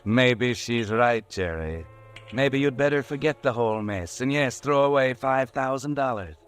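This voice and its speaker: A man's voice: mid-range voice, animated, unhurried, soft background music, natural voice. A man playing a mocking, ominous narrator who goads the listener in the second person, in a 1940s radio drama. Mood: taunting. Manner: taunting, goading, second-person.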